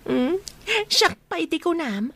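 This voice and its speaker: An actress playing a nosy, excitable young best friend in a radio drama, teasing, with an Ilocano accent. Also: female voice, extremely high pitch, a little slow, exaggerated voice. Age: young adult.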